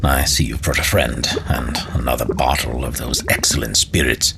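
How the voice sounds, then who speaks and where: A man's voice: a bass voice, steady tone, moderate pace, noisy background. A man narrating a fantasy tale as a storyteller, with dramatic, theatrical and courtly phrasing.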